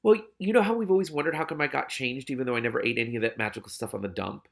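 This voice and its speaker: A man's voice: mid-range voice, very fast, vocal fry, lightly acted. A man voicing a shifty, evasive cat who hedges uneasily and sounds guilt-ridden in a storybook reading. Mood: squirming guilt. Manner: stammering, hedging when cornered.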